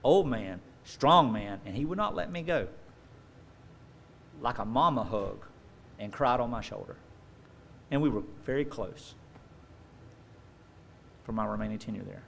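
A man's voice: on the low side, a little slow, vocal fry, pauses often. A man in his forties, a pastor preaching a sermon from a lectern on a church stage, telling stories and acting out the voices of people he quotes. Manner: animated storytelling, acts out quoted voices. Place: church stage with dark curtain. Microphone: stand mic at lectern.

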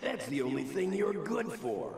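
An actor playing a gruff, mocking bully of a father in a video game, with a gravelly, taunting, drawn-out delivery. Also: medium pitch, sing-song, a little mellow. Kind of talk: video game cutscene acting. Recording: echoey, distant, faint noise behind.

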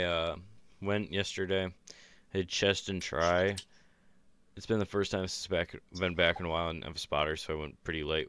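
A young man with a very deep voice, chatting casually and rambling while streaming a game. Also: clear, resonant tone, dry recording. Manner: relaxed, rambling, laid-back. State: sore chest and ankle. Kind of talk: casual gaming chat. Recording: streamed voice chat, faint music behind.

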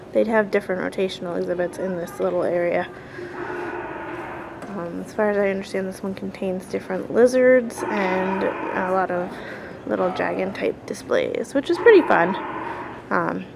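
A woman's voice: medium pitch, creaky. A young woman casually narrating a walking tour of an indoor museum, pointing out exhibits as she goes, on a handheld camera mic. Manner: relaxed, pointing things out as she walks. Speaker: video tour host. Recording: noisy handheld camera audio.

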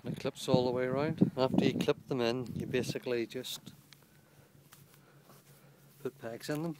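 A man's voice: medium pitch, steady tone, moderate pace, heavy background noise. Man casually showing a tent. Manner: plain, matter-of-fact, pointing out features. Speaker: outdoor gear reviewer. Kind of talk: casual product walkthrough. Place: outdoors on a lawn beside a small tent. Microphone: handheld camera held by the speaker.

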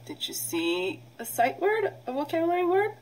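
A middle-aged woman, a preschool teacher, leading a read-aloud vocabulary lesson in an instructional way, pausing for children to repeat. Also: high voice, huge pitch swings. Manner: instructional, prompting with pauses for repetition.

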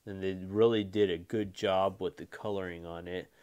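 Low-voiced man casually reviewing a toy. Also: fairly even intonation, moderate pace, a little mellow. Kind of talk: casual unboxing and review commentary.

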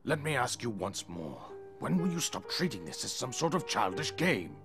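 A man's voice: mid-range voice, big pitch swings, moderate pace. An actor playing a stern, commanding old king and disapproving father in a fantasy video game, formal and authoritative. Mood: scolding. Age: older. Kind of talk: dramatic video game cutscene acting. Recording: studio voice over prominent music.

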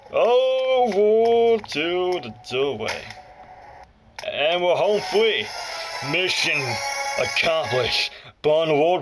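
A man's voice: a little high, poor audio. A man giving casual, off-the-cuff gameplay commentary as a Let's Play host, muttering short reactions to the action. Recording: loud game music over the voice. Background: loud music and game audio from a handheld console.

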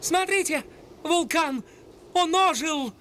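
A woman's voice: very high voice, fairly bright tone, a little hiss or hum, heavily acted. A woman voicing a small, plucky male animal sidekick in a cartoon, feisty and easily indignant. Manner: feisty, indignant, quick to protest. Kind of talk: cartoon dub.

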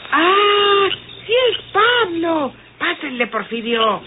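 A woman's voice: extremely high pitch, sing-song, slow, exaggerated voice. An actress playing an anxious, talkative woman in an old radio melodrama, with a Mexican accent. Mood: startled. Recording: very noisy, tinny old radio recording.

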